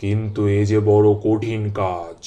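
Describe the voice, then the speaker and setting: Man playing a wise holy man, low mellow voice, flat delivery, authoritative, cartoon, faint noise behind. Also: measured pace, lightly acted. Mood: knowing, authoritative. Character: all-knowing holy man, gruffly fatherly sage. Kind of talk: horror cartoon voice acting.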